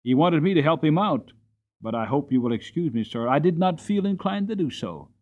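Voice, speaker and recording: Storybook groom acted by a man: folksy, frank, drily amused, slightly high male pitch. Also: expressive, a little fast, darkish tone, muffled recording. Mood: frank indignation. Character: plain-spoken horse-loving groom, indignant and drily amused.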